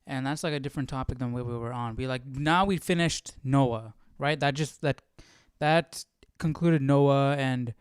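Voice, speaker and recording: A man's voice: mid-range voice, moderate pace, few pauses, smooth, no echo, clean studio sound. A man hosting a livestream, chatting casually and thinking aloud in a rambling way. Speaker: livestream host of a Bible study series.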